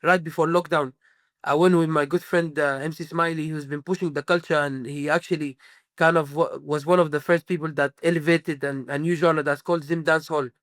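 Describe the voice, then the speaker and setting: A man's voice: on the high side, fairly even intonation, a little fast, fairly bright tone, dry recording. Sudanese-born man, rambling and dry. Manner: rambling, many fillers and restarts. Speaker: musician guest. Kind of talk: casual interview answers.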